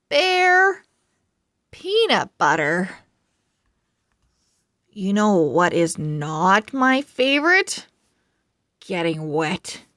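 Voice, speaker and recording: A woman voicing a proud, excitable little male pug telling his diary in a children's storybook, with dramatic, earnest phrasing. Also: medium pitch, no echo, studio quality, voice pushed down, full character voice. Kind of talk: children's storybook character narration.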